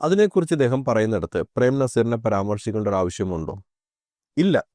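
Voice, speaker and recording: Male reaction host, critical commentator, rapid delivery, dry sound. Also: fairly low pitch, animated, darkish tone, dry recording. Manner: critical, argumentative. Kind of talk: spoken commentary.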